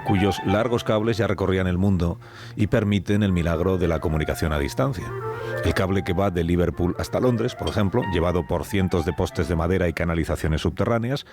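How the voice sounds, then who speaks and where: A man's voice: deep voice, a little fast, over music. A man with a Spain accent telling a scripted radio story with theatrical asides and repeated phrases.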